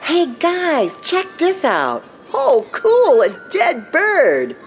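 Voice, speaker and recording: A rambunctious eight-year-old boy, played by a woman in a spooky audio story. The voice is pitched up high and pushed loud, bossy and excitable, with music underneath. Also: very slow, full character voice. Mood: excited, mischievous. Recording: music behind the voice.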